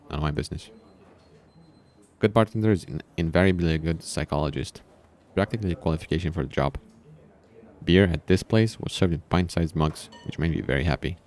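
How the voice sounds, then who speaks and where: A man's voice: deep voice, a little mellow. A man reading a visual novel aloud, breaking in with casual, informal comments of his own. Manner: informal, reacting to the story with asides. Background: background music.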